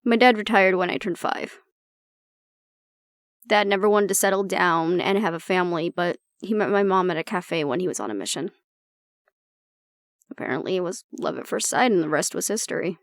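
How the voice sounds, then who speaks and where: A woman's voice: lowish voice, moderate pace, long pauses, no echo, clean studio sound, natural voice. A woman acting in an audio roleplay as an exasperated, blunt agent, talking intimately and sardonically to the listener. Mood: reflective, explaining. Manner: blunt, sardonic, conversational, swearing. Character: exasperated woman agent venting about her stubborn, disapproving father.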